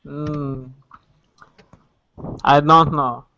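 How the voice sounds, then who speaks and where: A young man with a Moldovan accent, a student giving short, halting answers in an English lesson. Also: a little high, flat delivery, gravelly, sharp tone, slight background noise. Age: young adult. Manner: halting, terse. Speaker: university student learning English. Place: online group call. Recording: dull online call audio.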